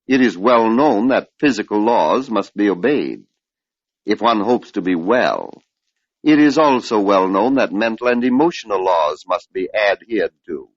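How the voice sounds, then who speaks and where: An older man giving a measured, oratorical spiritual lecture on an old radio broadcast, posing rhetorical questions. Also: lowish voice, dry recording. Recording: dull lo-fi vintage radio recording.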